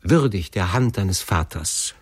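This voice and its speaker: A man's voice: low voice, unhurried, a little mellow, no room sound. A man narrator plays a passionate young son in a classic story. He implores with fervent emotion and open desperation. The recording is close and dry. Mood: desperate.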